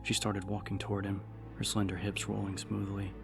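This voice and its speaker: A man narrating dramatic fiction as an audiobook, with measured, suspenseful delivery. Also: lowish voice, completely flat delivery, vocal fry, music in the background.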